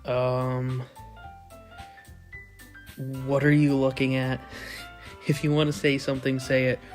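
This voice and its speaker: A man voicing a poised, confident teenage girl who runs a school club, in a composed, measured way. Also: medium pitch, little pitch movement, soft background music, lightly acted.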